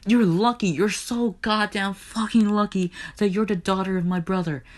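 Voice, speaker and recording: A man's voice: on the high side, very animated, moderate pace, voice pushed up. A man voicing an enraged, menacing god of the underworld who threatens in repetitive, profane bursts. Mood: enraged, exasperated. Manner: threatening, swearing, repeating himself.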